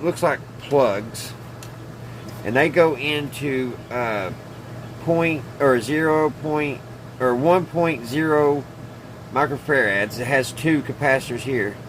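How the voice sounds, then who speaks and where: A man hosting a casual hobby workbench video, rambling and hesitant as he thinks aloud. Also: mid-range voice. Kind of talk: casual workbench vlog about old radios. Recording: clearly noisy room, steady hum.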